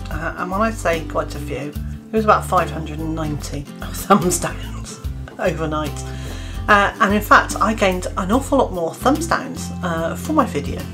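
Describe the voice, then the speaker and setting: British lady in her 50s, lowish voice, earnest opinion talk to camera, lots of uh and restarts, faint music behind. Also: expressive. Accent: British English. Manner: earnest, measured, with hesitant restarts and fillers. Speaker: gardening channel host.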